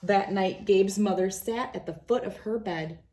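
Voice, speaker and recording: A woman's voice: mid-range voice, measured pace, mellow. A woman reading a children's storybook aloud as narrator. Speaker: children's book reader.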